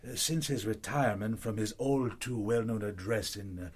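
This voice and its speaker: An actor in a radio drama playing a genial, admiring French reformed criminal, with a husky voice and a French accent. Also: male voice, fairly low pitch, moderate pace. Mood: confiding.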